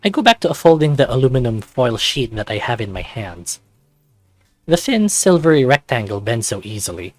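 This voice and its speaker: A man with a mid-pitched voice hosts a visual novel read-along. He narrates and chats in a casual way, and the sound is very dry with no room on it. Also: very animated, normal speed, no room sound, faint music behind. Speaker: host of a let's-read channel.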